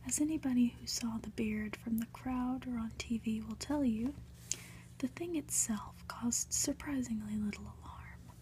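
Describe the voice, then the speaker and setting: A woman narrating a storybook in a breathy ASMR whisper, reading gently and deliberately. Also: fairly high pitch. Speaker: ASMR reader. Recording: faint background noise.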